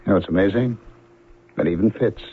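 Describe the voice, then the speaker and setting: An actor playing an ardent, needy suitor in a 1970s radio drama, husky and fervent. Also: male voice, on the low side, brisk. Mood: tender, desperate. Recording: thin, phone-like old radio recording.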